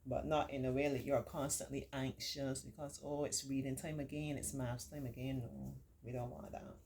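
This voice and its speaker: Low-voiced middle-aged woman, encouraging storytime host. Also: little pitch movement, normal speed, darkish tone, a little hiss or hum. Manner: encouraging, reassuring, repeats points for emphasis. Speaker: children's storytime host and remedial tutor. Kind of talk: read-aloud story with warm, informal teaching asides.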